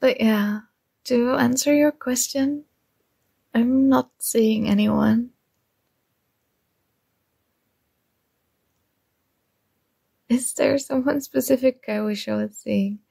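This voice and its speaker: Shy nerdy female student played by a woman for romantic ASMR roleplay; slow, halting, flustered, with vocal fry. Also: a little high, fairly even intonation, dark tone, exaggerated voice. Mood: flustered, nervous. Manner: hesitant, awkward, halting.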